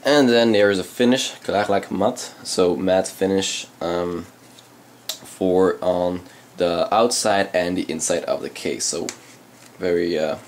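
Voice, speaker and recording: A man whose first language is Dutch, hosting a casual unboxing of computer case parts, halting, with many ums and uhs. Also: sits low, slight background noise. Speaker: channel host reviewing PC parts. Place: indoors at a desk, hands on camera.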